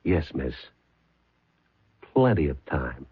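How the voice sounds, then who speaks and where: A man playing a calm, dogged police detective in a 1970s radio drama, talking mildly and hesitantly with many pauses. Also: a bass voice, music in the background, heavily acted. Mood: firm. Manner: mild, hesitant, with many uh's. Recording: phone-like old radio broadcast.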